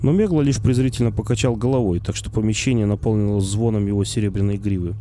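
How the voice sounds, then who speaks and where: A man's voice: lowish voice, fast talker, noisy background. A man narrating an audiobook in a wry first-person storytelling manner. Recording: faint music underneath.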